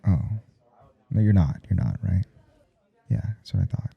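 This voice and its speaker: A man playing a flustered, jealous professor in an intimate audio roleplay, speaking haltingly and gruffly with a gravelly voice and plenty of swearing. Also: low-pitched, expressive, muffled recording, exaggerated voice. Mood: defensive, jealous. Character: jealous, flustered male professor smitten with his teaching assistant.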